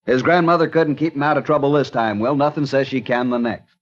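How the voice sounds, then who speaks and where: An actor playing a stern, stubborn small-town sheriff in 1940s radio acting. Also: male voice, mid-range voice, fairly even intonation, a little fast, close to an everyday voice. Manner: stubborn, stern, self-righteous. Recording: muffled old radio recording.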